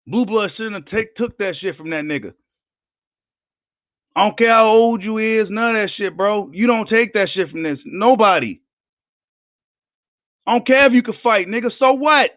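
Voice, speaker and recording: Hoarse man ranting hotly. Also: sits high, moderate pace, pauses often, no echo. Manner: heated, profane, combative ranting. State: hoarse, losing his voice. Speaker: boxing commentator. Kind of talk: live rant vlog. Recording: tinny phone-like line, dry.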